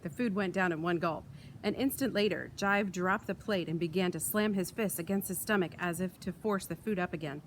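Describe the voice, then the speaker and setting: A woman reading a children's book aloud in an expressive storytelling style, recorded outdoors. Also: medium pitch, rough recording. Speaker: read-aloud reader. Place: outdoors in wind. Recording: light outdoor wind and ambient noise.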